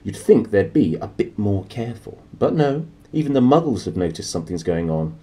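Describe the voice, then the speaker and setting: A man's voice: fairly low pitch, sing-song, fairly quick, dark tone, husky. Man voicing a severe, indignant storybook lady professor. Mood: irritated, impatient. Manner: clipped, prim and indignant. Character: severe, impatient older woman professor, easily ruffled. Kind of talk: children's storybook character voice.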